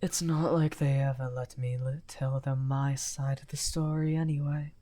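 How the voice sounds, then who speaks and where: A man playing a misunderstood, weary villain wizard in an ASMR fantasy roleplay, amused and softly menacing, talking teasingly and half in whispers. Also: medium pitch, little pitch movement, moderate pace, lightly acted. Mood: smug, intrigued.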